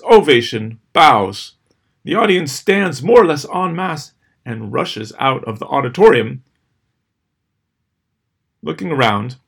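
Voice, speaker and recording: A middle-aged man reading scripted personal stories as host, with a dry, wry, measured delivery and deliberate pauses. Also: fairly high pitch, fairly bright tone, husky. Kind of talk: scripted first-person storytelling.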